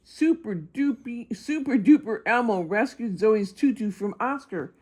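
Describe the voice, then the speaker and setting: An eager little storybook monster , played by a woman reading aloud. The voice is high and childlike, swinging widely in pitch, full of affection and excitement. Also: measured pace, husky. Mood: excited, proud. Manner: childlike, eager, speaks of himself by name. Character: small, excitable, affectionate young monster boy. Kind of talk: children's picture book read-aloud.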